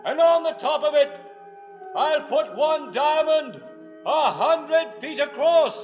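A proud, wealthy patriarch in an old radio play, pitched extremely high. He declaims grandly and desperately, dragging out his words. The sound is muffled, with music underneath. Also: animated, slow, full character voice. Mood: pleading, boastful. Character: proud rich patriarch loudly bribing God in desperation. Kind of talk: 1940s radio drama acting.

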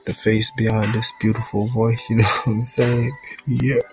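A man's voice: mid-range voice, little pitch movement, moderate pace, over music. A young man from Jamaica hosting a casual solo podcast intro in a laid-back, rambling way, often saying "you know what I'm saying". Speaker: podcast host.

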